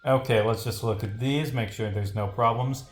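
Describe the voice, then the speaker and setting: A man casually commentating on a livestream as a game developer, rambling and thinking out loud as he reads over his script. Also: mid-range voice, steady tone, moderate pace. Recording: roomy, echoing sound with music.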